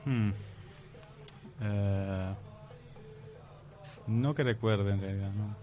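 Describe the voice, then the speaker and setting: A young man from Palestine with a low voice answers questions on a radio show. He talks hesitantly and laughs softly between his answers. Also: drawn-out words, soft background music. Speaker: guest.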